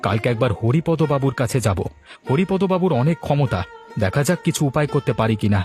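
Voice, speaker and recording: A man's voice: medium pitch, animated, fast, a little mellow, soft background music, natural voice. A man voicing a concerned, indignant village husband in a moral cartoon, speaking emphatically and exclaiming. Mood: indignant, determined. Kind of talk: Bengali moral cartoon dialogue.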